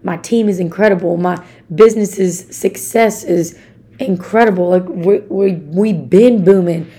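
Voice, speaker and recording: A young woman from Arkansas with a raspy voice, hosting a casual motivational podcast in an upbeat, chatty way and talking straight to her listeners. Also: lowish voice, measured pace, warm tone. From Arkansas, USA. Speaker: podcast host.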